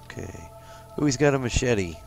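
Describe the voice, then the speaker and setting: A man's voice: mid-range voice, animated, moderate pace, vocal fry, darkish tone. A man hosting a gameplay video in casual co-op chat. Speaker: host gamer. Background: faint music.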